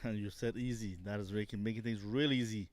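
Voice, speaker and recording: A man's voice: on the low side, steady tone, fairly quick. A man doing live cricket commentary, calling the shots and interviewing a player between balls.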